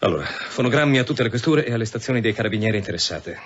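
A man's voice: medium pitch, animated, racing, lo-fi sound, a little hiss or hum, lightly acted. A man playing a stubborn, dutiful police commissioner in a radio drama, terse and insistent. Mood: brisk, commanding. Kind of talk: naturalistic radio drama acting.

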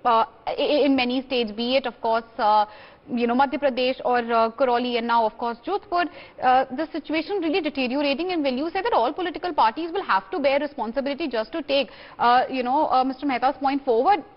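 A woman in her thirties with an Indian accent moderating a live news debate in a measured, wordy way. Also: high voice. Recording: muffled, long reverberant sound.

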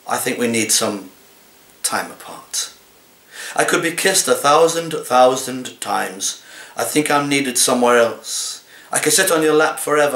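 Man in his sixties, unhurried dramatic poetry reading, expressive, medium pitch, roomy home recording. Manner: deliberate, theatrical, expressive delivery in a cat's persona. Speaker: actor reading poems. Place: small living room, indoors.

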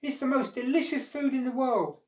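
A storyteller voicing a friendly, quick-witted male monkey in an excitable manner, for a folk tale. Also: medium pitch, voice pushed up, lightly acted. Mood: cheerful, generous. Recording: roomy echo, distant microphone.

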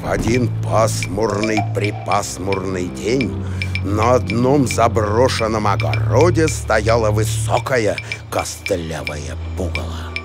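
A man voicing a simple, teasing, good-natured talking dog in an animated cartoon. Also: on the low side, slow. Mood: spooky storytelling. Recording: music behind the voice.

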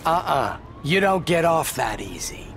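A man's voice: a little high, sing-song, a little slow, a bit bright, slight background noise, heavily acted. A man playing a sarcastic, patronising crook in video game fight banter. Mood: sardonic. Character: sardonic, condescending crook with a freeze gun.

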